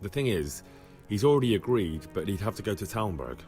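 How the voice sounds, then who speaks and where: Earnest young squire, lively pitch. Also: male voice, fairly quick, soft background music. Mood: earnest, persuading. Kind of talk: video game cutscene acting.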